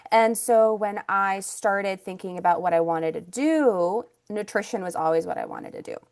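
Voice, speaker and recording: A woman's voice: mid-range voice, huge pitch swings, moderate pace, creaky, a little mellow, clear, resonant tone. A woman in her thirties from Ukraine, whose first language is Russian, talking as an interview guest about her path into naturopathic medicine and acupuncture, chatty and full of restarts. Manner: chatty, many fillers and restarts. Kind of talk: casual interview storytelling.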